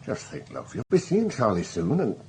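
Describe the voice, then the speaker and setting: An actor playing a touchy, plain-spoken husband in a radio drama, with a blunt, colloquial way of talking. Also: male voice, mid-range voice, huge pitch swings, normal speed, darkish tone, a little hiss or hum. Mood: coaxing. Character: small, touchy, blunt husband, tender to his wife.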